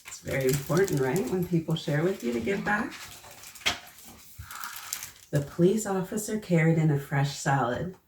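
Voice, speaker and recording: A woman reading a children's story aloud, pausing to prompt young listeners with questions. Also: lowish voice, lively intonation. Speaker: children's storybook reader and host. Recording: roomy echo, distant mic, faint noise.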